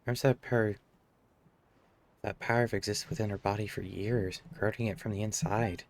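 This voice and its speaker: A man's voice: lowish voice, steady tone, brisk, a little hiss or hum. A man live streaming a game, reading dialogue aloud and adding casual commentary. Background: game music.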